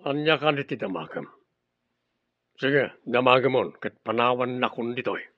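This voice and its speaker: A man playing a domineering, short-tempered household boss in an Ilocano radio drama, with a gravelly, husky voice. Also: medium pitch, expressive, moderate pace, no room sound, close to an everyday voice. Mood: curt. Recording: dull, close radio drama recording.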